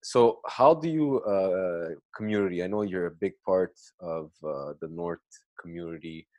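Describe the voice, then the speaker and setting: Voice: low voice, moderate pace, warm tone, no room sound, dull audio. A podcast host who interviews a guest, affirming often and repeating words.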